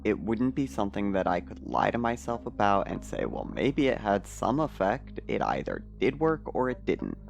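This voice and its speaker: A man playing a troubled, pessimistic man in an audio fiction monologue, confessing in a halting, hesitant way. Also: fairly low pitch, big pitch swings, vocal fry, soft background music. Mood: hesitant, uneasy.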